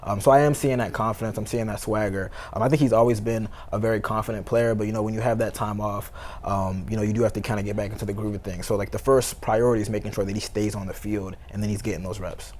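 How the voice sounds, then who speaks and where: An American man talks sports at a very quick clip in a fairly low voice. He sounds like a reporter chatting casually as a guest, leaning on conversational fillers as he builds each point. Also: steady tone, a little mellow, slight background noise. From Baltimore, United States. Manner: conversational, heavy use of "you know" and "like".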